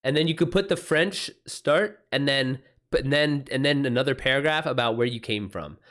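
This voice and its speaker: A man's voice: medium pitch, moderate pace, a bit bright. A man hosting a livestream about writing, chatting casually and unscripted, thinking aloud with informal slang. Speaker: livestream host and author giving writing advice.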